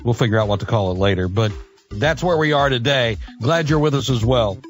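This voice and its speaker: A man's voice: mid-range voice, brisk, faint music behind. A man hosting a live radio talk show in a casual, conversational style.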